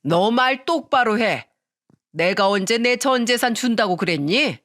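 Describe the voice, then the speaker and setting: A woman's voice: fairly high pitch, lively intonation, a little slow, fairly bright tone. A woman voicing a stern elderly mother-in-law who scolds bluntly in a dramatic family story. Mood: angry scolding. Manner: firm, reproachful, blunt.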